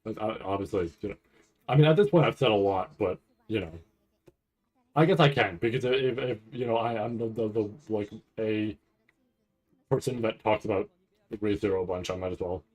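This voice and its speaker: A man giving casual live reaction commentary, nervous and rambling, halting and repeating his words as he goes. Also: lowish voice, a little mellow, rough recording. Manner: anxious, nervous anticipation. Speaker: anime commentator reacting to a livestream event.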